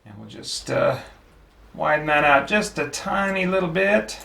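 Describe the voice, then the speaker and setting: A man hosting a livestream in a casual, rambling, thoughtful way, often pausing mid-sentence. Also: a little high, creaky, pushed and bright. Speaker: livestream host.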